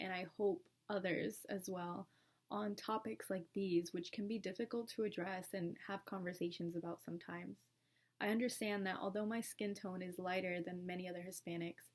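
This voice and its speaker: A young woman from Colombia, a student, reading a scripted personal narration in an earnest, reflective way. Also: medium pitch. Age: young adult.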